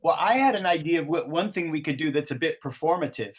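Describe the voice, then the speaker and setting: Higher-pitched man leading an online workshop. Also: lively intonation, quick pace. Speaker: workshop facilitator. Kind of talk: leading an interactive online improv exercise. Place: online video call. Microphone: video call microphone.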